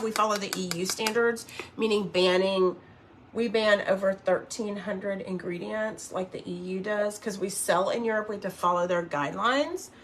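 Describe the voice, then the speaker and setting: A woman's voice: mid-range voice, sharp tone, slight background noise. A woman in her early fifties hosting a casual makeup livestream, chatting and rambling as she works and reads out viewer comments. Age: 50s.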